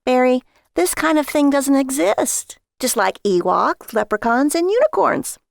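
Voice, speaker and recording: A woman's voice: high voice, animated, unhurried, gravelly, dry recording, studio quality, pitched above the performer's own voice, full character voice. A woman voicing an exasperated, nagging wife in a story, sarcastic and scolding toward her husband. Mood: scornful.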